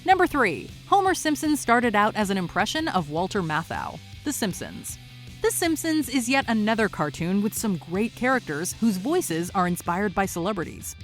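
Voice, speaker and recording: A woman's voice: mid-range voice, big pitch swings, normal speed. A woman hosting and narrating a scripted countdown video. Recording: music behind the voice. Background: background music.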